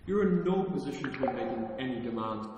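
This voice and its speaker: A man's voice: mid-range voice, lively intonation, fast, lo-fi sound, natural voice. A man playing a mocking, theatrical criminal mastermind in an audio drama, playful and menacing in a taunting, mock-affectionate way. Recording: very echoey, distant, noisy hall.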